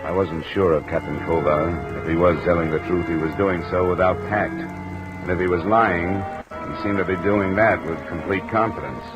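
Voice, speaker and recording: A man's voice: low voice, normal speed, highs cut off, loud music behind the voice, close to an everyday voice. A man playing a tough, probing American insurance investigator in a 1950s radio drama, clipped and dry in delivery. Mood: dry narration. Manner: clipped, persistent questioning, dry first-person narration. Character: tough, probing male freelance insurance investigator, narrating his case. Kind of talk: 1950s radio detective drama acting and narration. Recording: old radio recording with steady rain noise.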